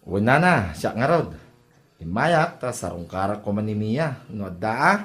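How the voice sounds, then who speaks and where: A man's voice: fairly low pitch, sing-song, normal speed, a bit bright. A man playing a polite, disappointed young suitor in an Ilocano comedy drama. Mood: let down.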